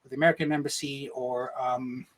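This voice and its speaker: A man's voice: medium pitch, flat delivery, brisk. A man from Egypt telling a personal story in a casual interview, rambling and swearing, with frequent "right?" tags. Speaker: podcast guest.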